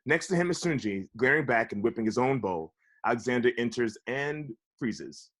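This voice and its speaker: A man's voice: mid-range voice, lively intonation, moderate pace, a bit bright. A man reading out stage directions as narrator for a comedy script table read.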